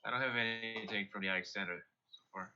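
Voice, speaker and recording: A man's voice: lowish voice, steady tone, quick pace, background noise. A man contributing casual, speculative commentary to a live news stream over dull lo-fi audio.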